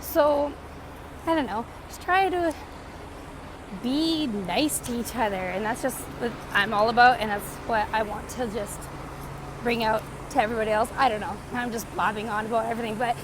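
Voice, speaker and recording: Young Canadian-raised woman, higher voice, rambling vlog chat, phone mic with street noise behind. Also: normal speed. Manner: chatty, rambling, filler-heavy. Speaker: vlogger. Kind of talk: casual life-update vlog. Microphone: phone camera.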